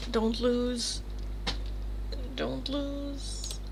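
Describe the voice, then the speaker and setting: A woman with a Dutch accent chatting casually on a live stream from home, halting and restarting her sentences as she goes. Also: fairly high pitch, little pitch movement, creaky, very noisy. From Netherlands. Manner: chatty, halting, trails off and restarts, soft asides. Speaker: tarot reader streaming live. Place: home room with a fan.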